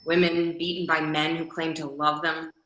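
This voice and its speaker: Woman doing tired social worker daughter, guarded but cares, crisp bright sound, echoey room, stage reading. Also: deep voice, flat delivery, moderate pace. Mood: weary, bitter. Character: world-weary caseworker daughter, guarded but caring, middle-aged. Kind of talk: readers theater scene. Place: indoor room on a video call. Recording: echoey room on video call.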